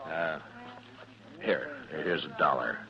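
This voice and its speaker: An actor playing a steady, weary frontier marshal in a radio Western, with a measured, probing, laconic manner. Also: male voice, rumbling low, full character voice. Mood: concerned, fatherly. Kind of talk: 1950s radio Western acting with first-person narration. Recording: dull vintage radio recording with music.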